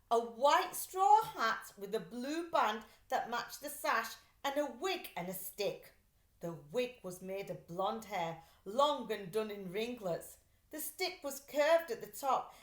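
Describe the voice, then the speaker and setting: A woman reading a children's story aloud like a teacher, with expressive, emphatic delivery. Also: a little high. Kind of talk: scripted storybook narration. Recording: echoey room.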